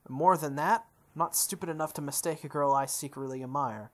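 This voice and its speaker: A young man reading a visual novel aloud as a let's play host, breaking into casual animated commentary. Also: a little high, a little fast.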